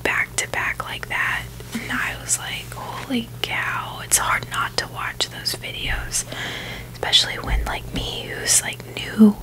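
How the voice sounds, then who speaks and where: Slow, breathy whispering woman. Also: pushed and bright, poor audio. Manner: hesitant, informal, frequent "like" and "you know". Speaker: ASMR video creator. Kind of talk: ASMR, rambling personal chat.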